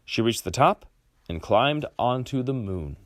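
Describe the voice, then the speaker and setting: A man reading a children's picture book aloud expressively, with dramatic pauses. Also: medium pitch, animated, no room sound, clean studio sound. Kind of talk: expressive storybook read-aloud.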